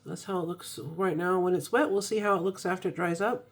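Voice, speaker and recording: A woman's voice: lowish voice, fairly quick, husky. Chatty woman reviewing ink, over a cold. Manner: relaxed, chatty, with frequent ums and restarts. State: recovering from a cold. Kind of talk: casual product review vlog.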